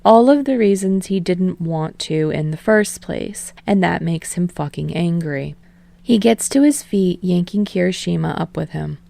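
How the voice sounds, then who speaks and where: A woman narrating a fan-fiction story aloud, in an even, casual reading voice. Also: on the low side, unhurried, creaky, no room sound. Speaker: podfic reader.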